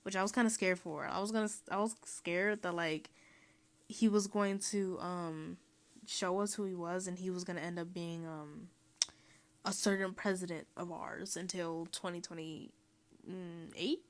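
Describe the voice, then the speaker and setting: A young woman from Mexico giving a casual, rambling voiceover, full of "um" and "like" and quick laughs. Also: lowish voice, fairly even intonation. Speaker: vlogger.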